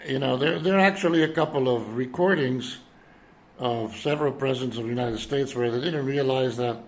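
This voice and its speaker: A man in his seventies from Europe speaking in an unscripted, reflective monologue, halting as he searches for words. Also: medium pitch. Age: 70s. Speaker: citizen vlogger addressing world leaders.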